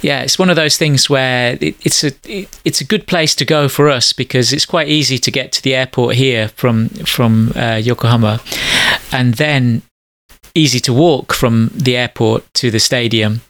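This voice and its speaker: A man's voice: mid-range voice, moderate pace, a bit bright. A middle-aged man hosting a podcast, chatting loosely over a call and repeating words while he thinks. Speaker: podcast host. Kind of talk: casual chat over a call. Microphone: call audio.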